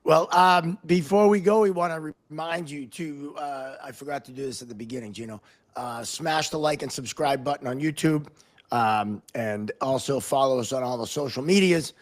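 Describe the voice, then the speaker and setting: Amerikanischer Podcast-Moderator, mittlere Stimmlage. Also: male voice, mid-range voice, normal speed. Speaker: podcast host, hockey referee. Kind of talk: casual podcast interview.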